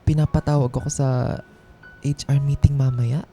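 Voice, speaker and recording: A man's voice: medium pitch, level tone, normal speed, lightly acted. A man playing an anxious, aggrieved office employee in a radio drama, speaking in a hesitant, polite, earnest way. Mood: nervous. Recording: music behind the voice.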